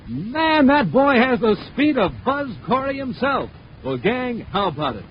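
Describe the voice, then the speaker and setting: A man announcing and selling in an excited 1950s radio style. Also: very high-pitched, unhurried, slight background noise. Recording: muffled 1950s radio recording.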